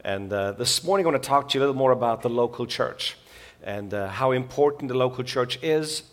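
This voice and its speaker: A man's voice: medium pitch, animated, a little fast. Folksy Swiss-raised man preaching. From Switzerland. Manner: folksy and joking, many uh fillers. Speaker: guest preacher. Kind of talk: sermon.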